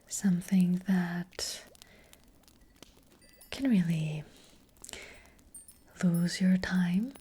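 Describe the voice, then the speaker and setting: Slow whispering gentle witch, played by a woman. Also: on the low side, exaggerated voice. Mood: calm, reassuring. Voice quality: breathy, mostly whispered. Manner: hesitant, unhurried, softly reassuring. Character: gentle, careful fortune-telling witch selling potions. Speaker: ASMR roleplay performer. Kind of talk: soft-spoken whispered ASMR roleplay. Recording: faint noise, soft clicks.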